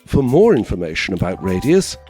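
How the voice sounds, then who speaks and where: A man reads a scripted announcement in a mellow voice with very animated, swooping pitch. Music plays behind him. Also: fairly quick.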